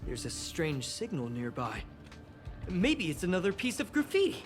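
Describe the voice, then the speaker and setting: A woman voicing an excitable, anxious young space station researcher in a game, expressive and full of sighs and exclamations. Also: fairly low pitch, moderate pace, music in the background. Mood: curious, exasperated. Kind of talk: game dialogue acting.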